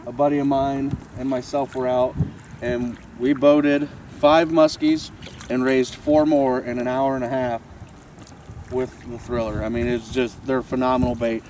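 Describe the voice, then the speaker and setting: A man's voice: mid-range voice, little pitch movement, measured pace, a little mellow. A man in his thirties walks through a fishing technique in a plain, practical way, handling gear as he talks. He is recorded outdoors by a camera mic a few feet away, so his voice sits a little distant, with faint open-air noise behind it. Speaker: fishing instructor. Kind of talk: casual outdoor instructional demo. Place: outdoors on a boat and a snowy riverbank.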